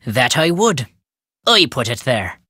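A gruff, wry old Irish countryman for a video game, stubborn and superstitious. His voice swoops with a lively storytelling lilt and has a bright, forceful edge, recorded close and dry. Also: mid-range voice, takes it slowly, no room sound, full character voice. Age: older. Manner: folksy inverted phrasing, storytelling lilt. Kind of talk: video game character dialogue.

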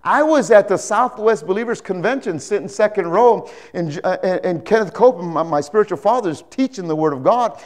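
High-pitched older male preacher, emphatic. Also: lively intonation, brisk, hardly any pauses. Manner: emphatic, repeats phrases, seeks audience agreement. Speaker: pastor. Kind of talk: animated sermon with anecdotes. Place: indoor church stage, speaking to a congregation. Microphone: lapel mic.

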